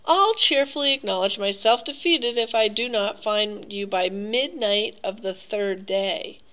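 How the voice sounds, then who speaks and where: A silky aristocratic Cossack general, put on by a woman reading the tale, courteous and cruel at once. He stretches his words out with lingering politeness, his tone riding a little higher than the narration around him. It sounds like a storybook reading rather than a full performance. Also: a bit bright, natural voice, rough recording. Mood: enthusiastic. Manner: silky, courteous, drawn-out phrasing. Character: suave, cruel aristocratic male Cossack hunter.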